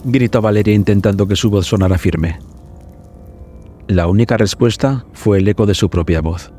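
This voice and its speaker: A man narrating a scripted suspense horror story for a podcast in a dramatic, measured way. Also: on the low side, warm tone. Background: background music.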